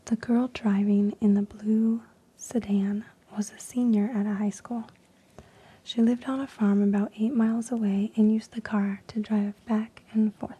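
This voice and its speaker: Slow breathy whispering woman. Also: mid-range voice, level tone, low-quality recording. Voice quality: soft unvoiced whisper. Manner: slow, hushed, with frequent ums. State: losing her voice. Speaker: ASMR creator. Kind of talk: whispered ASMR story reading.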